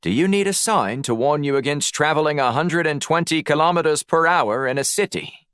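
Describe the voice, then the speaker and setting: A man's voice: on the high side, expressive, a bit bright, clean tone, no room sound, studio quality, voice pushed up. Man voicing a stern, formal police officer. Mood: questioning, stern. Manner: formal, measured, unamused. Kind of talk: audiobook character dialogue.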